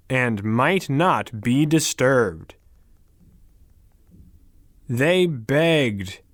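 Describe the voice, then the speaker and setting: A man narrating a fairy tale for language learners in a deliberate, carefully enunciated way, with pauses between short phrases. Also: on the high side, no room sound, clean studio sound. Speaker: story narrator.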